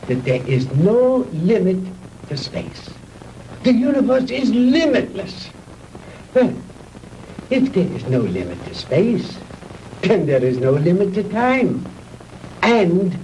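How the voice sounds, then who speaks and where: An actor playing a proud, vengeful mad scientist in a 1960s B-movie, lecturing pompously with theatrical pauses on an old film soundtrack. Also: male voice, sits high, mellow, distant mic, slight background noise, full character voice, poor audio. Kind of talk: 1960s B-movie sci-fi acting.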